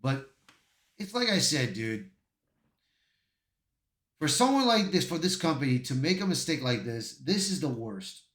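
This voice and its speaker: A man's voice: a little high, very animated, unhurried, pauses often, fairly bright tone. A man giving casual online commentary and reading aloud, often stumbling over his words. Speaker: YouTube commentator. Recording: roomy, echoey voice.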